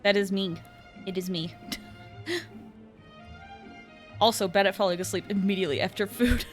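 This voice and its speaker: A woman streaming a game, reacting in a gushing, excitable way with many fillers. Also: mid-range voice, normal speed. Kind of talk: casual gameplay commentary. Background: game music.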